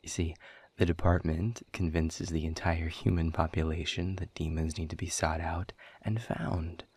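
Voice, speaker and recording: A man playing a weary, bitter demon captive in an audio roleplay, speaking in a husky, breathy voice with measured, sardonic formality. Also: low voice, steady tone, darkish tone, dry recording. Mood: cynical, resigned. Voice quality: husky, breathy, often dropping toward a whisper. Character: weary, bitter, guarded male demon captive, quietly defiant. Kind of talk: scripted audio roleplay monologue.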